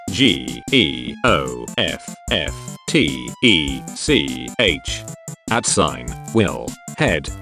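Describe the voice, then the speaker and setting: A man's voice: extremely low pitch, vocal fry, a bit bright, exaggerated voice. A man voicing a condescending non-human overlord, reading out scripted podcast credits over music. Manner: slow, spelling out words letter by letter. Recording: music behind the voice.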